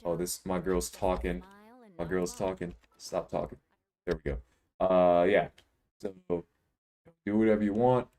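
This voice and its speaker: Deep-voiced young man streaming a game. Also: fairly even intonation, a little slow, long pauses, dark tone. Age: 20s. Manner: laid-back, joking, rambling. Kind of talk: casual live-stream chat while gaming.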